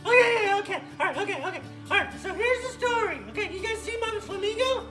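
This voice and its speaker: A woman voicing an excitable, bossy little girl puppet who rambles and repeats herself while hosting a playful kids' show. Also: very high voice, fast. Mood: giddy, rambling. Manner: rambling, repetitive, childlike. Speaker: children's puppet show host. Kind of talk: playful improvised storytelling for kids. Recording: roomy echo, faint music behind.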